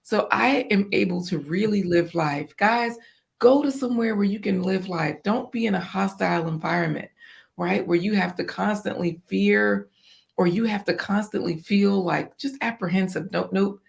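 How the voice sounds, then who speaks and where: A woman guest with a hoarse, scratchy voice, talking in a casual, upbeat and encouraging way on a livestream. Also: mid-range voice, normal speed. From Virginia.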